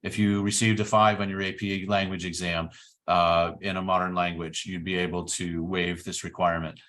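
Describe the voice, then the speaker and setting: A man's voice: deep voice, flat delivery, moderate pace, fairly bright tone. A middle-aged man giving an informational presentation about a study program, explaining step by step with frequent fillers. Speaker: program director.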